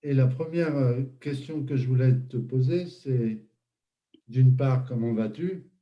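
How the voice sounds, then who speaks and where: Male host, measured and slow, dull lo-fi recording. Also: medium pitch. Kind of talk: interview hosting.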